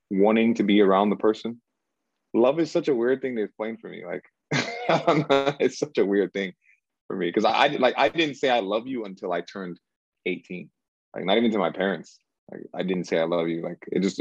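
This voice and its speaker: A very deep, gravelly male voice from a man in his thirties who was born in Cameroon. He thinks aloud as an interview guest, hesitating and circling back. The sound is dry and a little dull. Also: no room sound. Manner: searching, hesitant, repeats himself and says "like" often. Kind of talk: reflective conversational interview.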